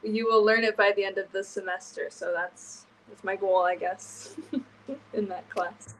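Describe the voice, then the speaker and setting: A woman's voice: medium pitch, steady tone, a little slow, a bit bright, a little hiss or hum, rough recording. A young woman with a Canadian accent, an exchange student chatting casually on a live video call. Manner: chatty, laughs easily, hesitant fillers.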